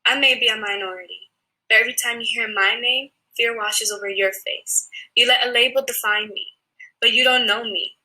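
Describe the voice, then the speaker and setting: A woman's voice: fairly high pitch, steady tone, fairly quick, sharp tone, rough recording. Defiant teenage girl reciting poetry. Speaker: student poet. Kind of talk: spoken word poetry.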